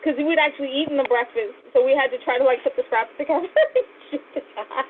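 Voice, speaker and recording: Lady host asking stuff casually, talks quick, thin noisy phone-y sound. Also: sits high. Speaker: interview host. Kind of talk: casual chat, asking questions. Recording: noisy, tinny phone-like audio.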